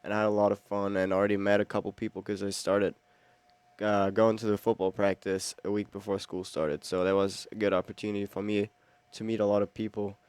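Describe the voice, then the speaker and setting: A man's voice: on the low side, little pitch movement, a little fast, darkish tone, clean tone, dry recording. A young man, talking casually in an interview. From Germany. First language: German. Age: teens. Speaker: exchange student being interviewed.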